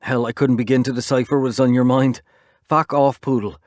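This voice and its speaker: A man voicing a furious young male fighter with a thick accent, snapping and shouting at a rival. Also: medium pitch, fairly even intonation, normal speed, no echo, studio quality, voice pushed up, lightly acted. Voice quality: thick heavy accent when angry. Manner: shouting, snarling.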